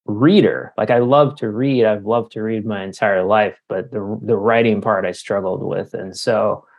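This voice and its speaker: A man in his forties giving casual, halting, self-deprecating answers as an author being interviewed. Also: on the low side, fairly even intonation, creaky, lo-fi sound. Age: 40s. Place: remote call.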